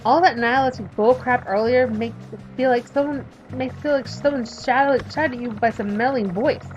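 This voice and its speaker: A woman in her thirties reading a visual novel aloud and chatting about it, emphatic while reading and quiet when talking about herself. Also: fairly high pitch, normal speed, highs cut off, soft background music. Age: 30s. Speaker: let's play streamer reading a visual novel. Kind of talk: reading game text aloud and commenting.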